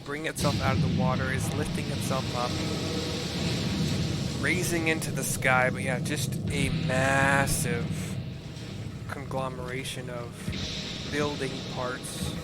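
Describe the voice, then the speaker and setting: A man with a mid-pitched voice talks through a video game for his viewers. His commentary is casual and descriptive, coming in hesitant pieces as he looks for words. Game music is clearly audible behind him. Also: fairly even intonation, unhurried.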